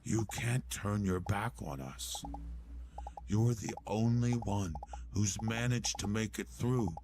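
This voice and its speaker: A man voicing a fervent male cult devotee who speaks in a hushed, half-whispered way. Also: fairly low pitch, slow, background noise. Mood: insistent.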